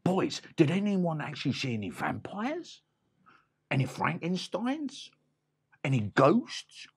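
A man voicing a bossy, blustering male bandit leader who barks orders and drops to a gruff hush when scheming. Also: on the high side, wildly swooping pitch, slow, voice pushed up, exaggerated voice.

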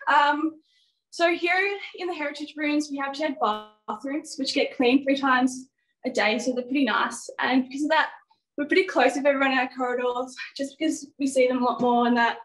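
A woman's voice: high voice, fairly even intonation, normal speed, rough recording. A young Australian woman giving a casual, chatty tour as a student guide. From Ballarat, rural Victoria, Australia. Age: young adult. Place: small dorm room and corridor. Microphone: phone at arm's length.

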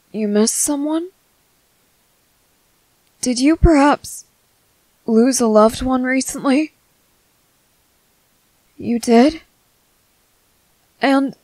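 A woman voicing a gentle young merman in an ASMR roleplay, hesitant, soft-spoken, earnest and apologetic. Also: fairly high pitch, no echo, rough recording. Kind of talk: ASMR roleplay, scripted character acting.

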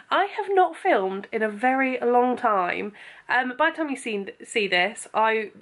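A woman's voice: on the high side, expressive, a little slow, fairly bright tone. A young woman, chatting casually to camera at home, rambling with lots of ums and likes, still a bit sniffly. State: a bit sniffly after losing her voice. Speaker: vlogger. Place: bedroom and small kitchen at home. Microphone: camera held close at arm's length.

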